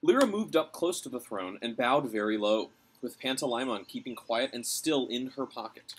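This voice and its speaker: Man, thirties, storybook read-aloud, medium pitch, faint outdoor background noise. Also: expressive, normal speed. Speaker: reader of a children's novel. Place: outdoors on a covered porch. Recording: clear outdoor ambient noise.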